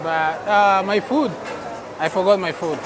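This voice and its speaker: A man's voice: sits high, fairly even intonation, unhurried. A man from Texas chatting his way through an outdoor night food court as a travel vlogger, often exclaiming in wonder. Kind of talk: casual walking vlog narration. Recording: noisy crowded street market recording. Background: crowd chatter and traffic.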